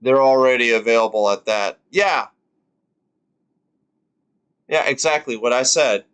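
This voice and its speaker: A man's voice: medium pitch, a little slow, fairly bright tone, clean tone. A man in his twenties on a live stream, reacting casually and rambling with lots of fillers and the odd swear word. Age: 20s.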